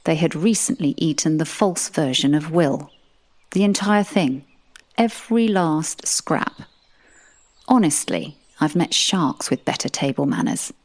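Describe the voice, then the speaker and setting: A woman's voice: sits low, lively intonation, a little slow. A woman narrating a comic audio drama in the first person, with a dry, sardonic manner.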